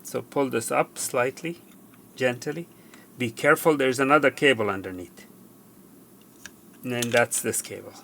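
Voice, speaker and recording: A man presenting a hands-on repair tutorial, explaining step by step in a halting way and repeating himself. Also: mid-range voice, vocal fry, fairly bright tone. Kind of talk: hands-on how-to demonstration. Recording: faint background noise.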